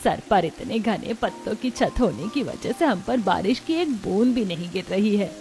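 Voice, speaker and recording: A woman's voice: mid-range voice, expressive, moderate pace, dark tone, faint music behind, close to an everyday voice. A woman voicing a household woman in an acted family cartoon story. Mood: earnest. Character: women of a cartoon family, mother-in-law and daughter-in-law.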